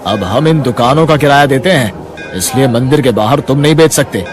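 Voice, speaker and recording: A man's voice: medium pitch, brisk, close to an everyday voice. A man voicing a rude, bullying shopkeeper in a cartoon who talks harshly, with faint music behind. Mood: bossy. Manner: harsh, shouting.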